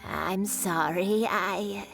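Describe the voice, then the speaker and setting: A woman voicing a weary, businesslike old granny shopkeeper in a video game, unhurried and hesitant. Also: medium pitch, gravelly, music in the background. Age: older. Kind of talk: video game dialogue acting.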